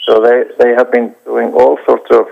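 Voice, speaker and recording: A man answers interview questions as a documentary director, stopping often and restarting his sentences. His voice has a gravelly, creaky edge at a middling pitch. The recording is dull and lo-fi. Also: monotone. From Iceland. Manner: halting, many hesitations and restarts.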